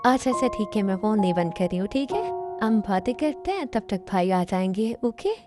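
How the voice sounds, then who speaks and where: A woman's voice: mid-range voice, expressive, brisk, over music, close to an everyday voice. A woman voicing a caring young woman who reassures a crying friend on the phone. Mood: soothing. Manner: reassuring, coaxing.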